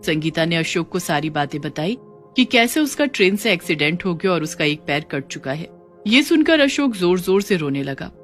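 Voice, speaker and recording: A woman's voice: fairly low pitch, very animated, normal speed, soft background music. A woman reading scripted narration for a moral story.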